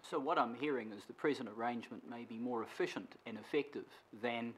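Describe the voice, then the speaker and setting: Male councillor, mid-pitched, slow, halting questions in a council chamber. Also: background noise. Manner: measured, hesitant, many fillers. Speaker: city councillor. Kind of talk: formal questions at a council meeting.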